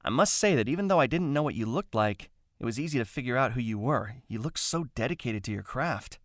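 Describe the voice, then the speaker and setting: A man's voice: mid-range voice, lively intonation, brisk, no room sound, studio quality, lightly acted. A man playing an eager, polite young actor in an audio drama, gushing in wordy, earnest sentences. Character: eager, starstruck young actor gushing over his idol.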